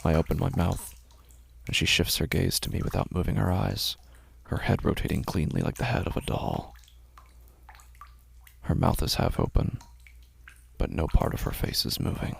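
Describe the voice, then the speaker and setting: A man narrating a first-person horror story in a measured, tense way. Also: low voice, animated, gravelly, slightly rough.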